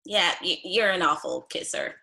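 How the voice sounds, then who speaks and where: A woman playing a blunt, flippant date in a comedy script table read, with halting, deadpan delivery. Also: lowish voice, vocal fry, full character voice, poor audio.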